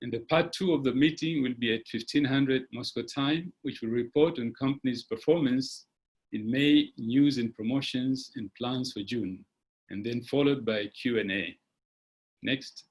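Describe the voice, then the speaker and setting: A man hosting an online webinar, giving motivational advice with frequent "uh" pauses, recorded on a computer mic. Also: mid-range voice, slightly rough, low-quality recording. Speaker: webinar host.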